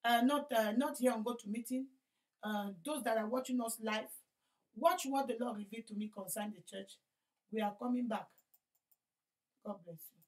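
High-voiced woman preaching emphatically. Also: moderate pace, pauses often, rough recording. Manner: emphatic preaching, swinging from loud to quiet. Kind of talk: sermon introduction. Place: studio set.

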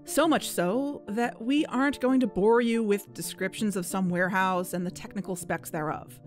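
A woman's voice: mid-range voice, moderate pace, soft background music, lightly acted. A woman playing an anxious, driven female podcast investigator in an audio drama, earnest and urgent. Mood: serious, measured.

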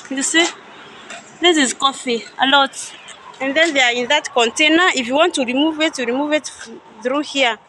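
Young woman, high bright voice, casual vlog guide who thinks aloud; phone mic outdoors with faint background noise. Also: normal speed. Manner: chatty, unsure, thinking aloud. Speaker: vlogger touring a coffee farm. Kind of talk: casual guided walk-through. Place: outdoors at a coffee farm and factory. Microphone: phone camera held by speaker.

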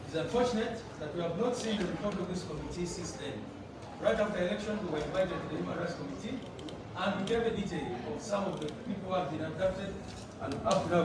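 A man giving formal prepared testimony to a parliamentary committee, reading in a measured way and addressing the chair, recorded from a distance in a large wood-panelled room. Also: high-pitched. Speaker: party representative presenting to a parliamentary committee. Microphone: far camera. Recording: echoey room recorded from afar with noise.

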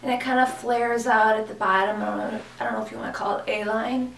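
Chatty hoarse woman in an echoey room. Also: a little high, steady tone, quick pace, fairly bright tone. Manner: chatty, gushing, many fillers like "kinda" and "like". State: hoarse from a cold. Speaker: fashion vlogger. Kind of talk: casual clothing haul chat. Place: small indoor room with a clothes rack. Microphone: camera mic from a few feet away. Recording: echoey room, mic at a distance.

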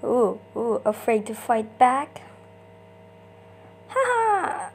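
A woman voicing a bratty, boastful little child in an amateur comic dub, loud and taunting. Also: on the high side, sing-song, extremely slow, breathy edge, faint music behind, exaggerated voice. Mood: taunting, defiant.

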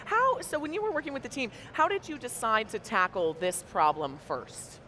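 A woman's voice: a little high, wildly swooping pitch, moderate pace. Enthusiastic woman co-host at a convention booth. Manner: enthusiastic, effusive, warm praise. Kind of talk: conversational interview. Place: large convention hall booth, indoors.

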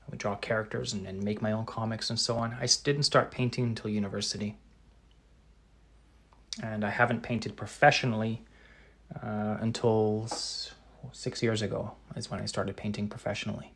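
A Canadian man in his thirties, a painter answering live-chat questions in a relaxed, casual way. He thinks aloud with many ums and restarts and articulates some words very precisely. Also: on the low side, normal speed, gravelly, husky.

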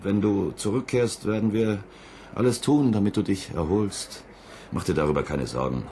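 A man's voice: lowish voice, normal speed, mellow, a little hiss or hum, lightly acted. A man playing a stern, controlling mission control chief in a German radio play, clipped and official. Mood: stern, threatening.

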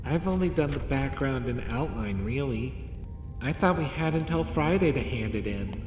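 A woman's voice: rumbling low, expressive, moderate pace. A woman voicing a timid, wary schoolgirl unicorn filly, hesitant and cautious, in an audio fan-fiction drama. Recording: echoey hall reverb, music behind. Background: background music.

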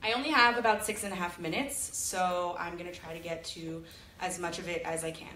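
A woman's voice: on the low side, steady tone, fairly quick, sharp tone, slight background noise. Chatty woman on an echoey stage. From Sacramento, California. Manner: chatty, jokey, self-deprecating storytelling. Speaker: presenter. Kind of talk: live stage talk. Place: large auditorium with audience. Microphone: handheld mic filmed from the audience. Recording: echoey hall, audience phone recording.

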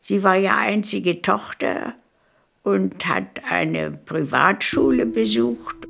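A woman of about 100 from Königsberg in former East Prussia, reminiscing in an unhurried, halting way in a documentary interview. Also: mid-range voice, creaky. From Königsberg, East Prussia, Germany. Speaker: documentary interviewee, eyewitness recalling her life. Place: furnished living room, indoors.

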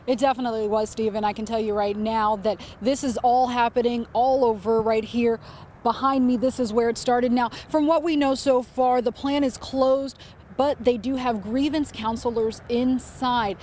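A woman reporting for TV news outside a factory, in a clear, steady delivery. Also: fairly high pitch, moderate pace, slight background noise. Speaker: TV field reporter. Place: outdoors at a factory.

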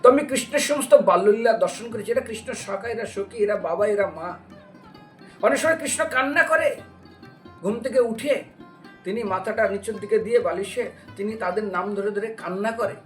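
A man's voice: high voice, moderate pace. A man preaching in a devotional storytelling style, explaining scripture and asking rhetorical questions as he goes. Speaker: religious preacher giving a devotional lecture. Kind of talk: devotional storytelling sermon with recited scripture verses. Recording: distant microphone with music behind.

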